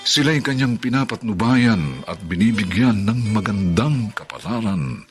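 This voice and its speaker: A man narrating a radio drama in a slow, grave, moralizing tone. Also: on the low side, lively intonation. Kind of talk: solemn scripted narration. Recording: narration over loud music.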